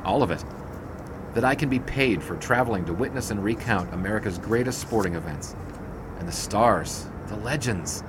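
An actor playing an earnest, witty young 1920s sportswriter with a wry, teasing manner in a period audio drama. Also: male voice, on the low side, normal speed, background noise, lightly acted. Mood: warm, admiring.

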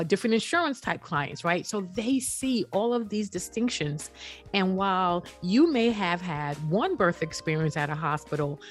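A woman founder speaking as an interview guest in an earnest, emphatic way, often checking in with "right?". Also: on the low side. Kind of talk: conversational interview.

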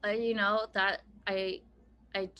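A woman's voice: mid-range voice, level tone, takes it slowly, bright, forceful tone. A woman in her thirties from Pennsylvania, a podcast guest talking casually with many "like" fillers and easy laughter. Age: 30s. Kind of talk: casual conversation.

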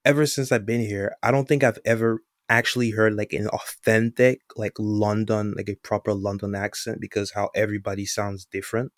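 A man's voice: on the low side, level tone, fairly quick, hardly any pauses, no echo, studio quality. A young man from the Caribbean with a mixed Caribbean and Canadian-sounding accent, chatting on a podcast and rambling with lots of fillers. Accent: Caribbean-Canadian mix. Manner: rambling, heavy on fillers like "like" and "you know". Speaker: podcast co-host. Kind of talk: casual podcast chat.

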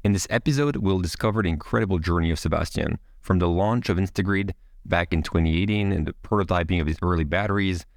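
Deep gravelly-voiced podcast host. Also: male voice, fast talker, hardly any pauses, no room sound, studio quality. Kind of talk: scripted intro, then interview questions.

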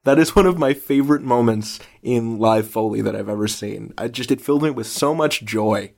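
A man's voice: mid-range voice, normal speed, creaky, a little mellow. A man hosting a casual interview, talking in an enthusiastic and vividly descriptive way. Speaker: interviewer, show host.

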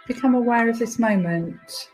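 A woman's voice: on the high side, a little mellow. Soothing woman meditation guide over music. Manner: soothing, unhurried instruction with pauses. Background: music behind the voice.

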